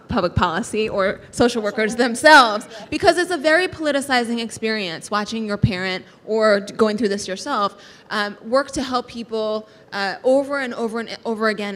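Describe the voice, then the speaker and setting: A woman's voice: medium pitch, fairly bright tone. A woman speaking on a panel, telling personal stories in an earnest way, with many fillers and restarts. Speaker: panelist, state legislator. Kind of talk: panel discussion, personal storytelling. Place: large hall, panel on stage. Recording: reverberant hall, faint noise.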